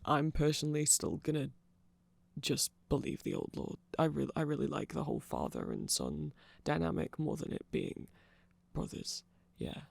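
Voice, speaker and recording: A nerdy, hesitant vampire played by a man talks softly in an ASMR roleplay. The voice is creaky and very dry, close to the mic. He rambles in a self-deprecating, friendly way to one listener. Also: fairly high pitch, no room sound, heavily acted. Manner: halting, self-deprecating rambling with small laughs. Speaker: ASMR audio roleplay performer. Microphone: close microphone.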